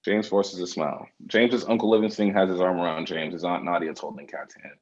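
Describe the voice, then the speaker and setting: Low-voiced man narrating, dull sound. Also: steady tone, brisk. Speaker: narrator reading stage directions. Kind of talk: scripted narration.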